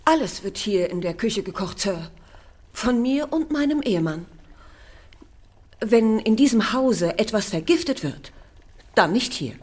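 An actress in a German radio drama playing a proud, defensive servant cook, emphatic and indignant. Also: female voice, mid-range voice, animated, a little slow, pauses often, a little mellow.

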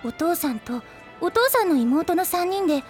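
A gentle teenage schoolgirl tells an old friend's story in a soft, earnest way. Her voice sits very high, and music plays clearly behind her in this anime drama CD. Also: sing-song, normal speed. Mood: worried.

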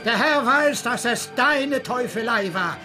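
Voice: mid-range voice, expressive, measured pace, natural voice. An actor playing a sanctimonious, eccentric male preacher in a radio drama, declaiming in a preachy, theatrical way. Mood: righteous, accusing. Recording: music under the voice.

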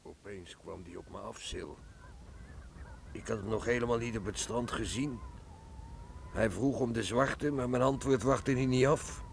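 An actor in a Dutch radio play, playing a loyal village neighbour who speaks in a subdued, halting, deferential and sorrowful way. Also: male voice, mid-range voice, gravelly, background noise. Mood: shaken.

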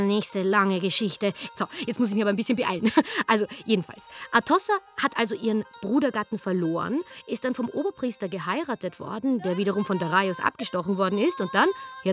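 A woman's voice: medium pitch, fairly bright tone, lightly acted. An actress playing a chatty, wry female storyteller who narrates ancient history in a colloquial, digressive way for a comic radio play.

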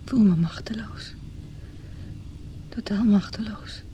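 An actress playing a wounded, bitter mistress in her thirties in a radio drama, speaking in a breathy, halting, often whispered voice. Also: mid-range voice, warm tone, close to an everyday voice. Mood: desperate pleading. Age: 30s. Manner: slow, halting, anguished. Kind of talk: radio drama acting, intimate inner monologue.

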